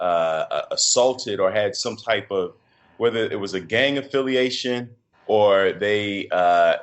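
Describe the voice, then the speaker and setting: A middle-aged man from Chicago with a deep voice, a podcast guest talking in a reflective, halting way with many fillers and restarts. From Chicago, USA. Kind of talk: casual interview conversation.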